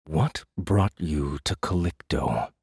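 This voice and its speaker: A weary fae captor from a fantasy audiobook drama. His speech is very slow and swings widely in pitch, with a husky, breathy grain. He sounds brooding and disdainful, recorded dry and close. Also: lively intonation, darkish tone, dry recording, heavily acted. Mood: suspicious, musing. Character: weary, haughty male fae jailer in human guise.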